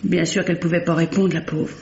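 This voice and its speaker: A woman's voice: lowish voice, level tone, fairly quick, gravelly, a little mellow. An earnest, talkative female concierge in a mid-century radio play. She is deferential and keen to explain, heard in a roomy, muffled recording with faint noise. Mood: earnest recounting. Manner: chatty, deferential, eager to explain. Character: earnest, fussy female building concierge, working-class. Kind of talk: mid-century French radio drama acting. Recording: dull, roomy, noisy vintage radio recording.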